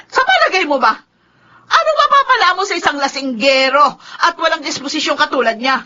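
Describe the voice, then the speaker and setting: An actress playing an emotional, remorseful middle-aged sister in a Filipino radio drama, speaking in a melodramatic, tearful manner. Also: female voice, sits high, lively intonation, a little fast, noisy background. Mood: anguished, defiant. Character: emotional, remorseful woman, long-estranged sister of Feli. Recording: muffled radio drama, faint music.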